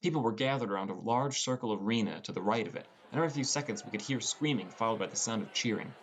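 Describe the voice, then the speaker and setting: Low-voiced man narrating an audiobook. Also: fast, a little hiss or hum. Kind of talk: scripted first-person fiction narration.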